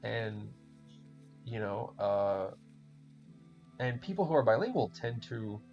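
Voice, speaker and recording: Gravelly low guy w/ german accent, rambly and sweary, lo-fi audio w/ faint music. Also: big pitch swings, slow pace. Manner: rambling, swears often, laughs a lot. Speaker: podcast host playing a game. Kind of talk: casual chat while gaming. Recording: dull lo-fi recording with faint music.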